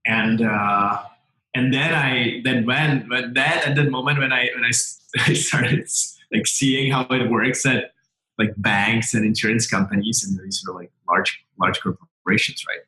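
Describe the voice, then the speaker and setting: Male, about forty, Czech accent, mid-pitched, conversational interviewee, echoey room sound. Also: steady tone, a little slow, pushed and bright. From Czech Republic. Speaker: interview guest, tech entrepreneur.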